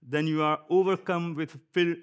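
A man with an Indian accent preaches to a church in a relaxed, conversational way. His voice sits a little higher than most men's, and he slips in fillers and easy chuckles as he builds his point. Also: normal speed, clean tone. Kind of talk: sermon.

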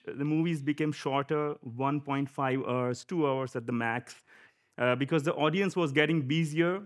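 A man from India with an Indian accent giving a conversational church sermon, often pausing with fillers and light laughs. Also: on the high side. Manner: conversational, chuckling, with frequent fillers. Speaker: preacher.

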